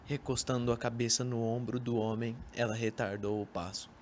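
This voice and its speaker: A man's voice: mid-range voice, flat delivery, moderate pace, muffled recording. A young man reading scripted literary narration for an amateur radio drama. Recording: noisy amateur recording.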